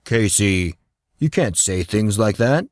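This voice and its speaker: A man's voice: lowish voice, animated, slow pace, no room sound, clean studio sound. A man voicing a proud, grumbling teenage boy in an audio drama, earnest and a little dramatic. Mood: indignant.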